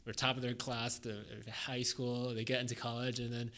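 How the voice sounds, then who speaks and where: The young guy with a middling-pitched voice who hosts a campus podcast, chatting with his guest like a classmate. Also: completely flat delivery, moderate pace, a bit bright. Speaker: podcast host, interviewer. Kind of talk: casual chat.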